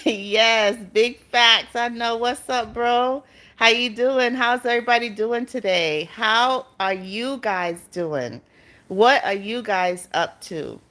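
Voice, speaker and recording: A woman's voice: mid-range voice, a bit bright. Chatty woman from Barbados hosting a live stream. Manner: chatty, greets viewers by name, laughs often. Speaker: YouTube channel host. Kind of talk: casual live-stream chat with viewers.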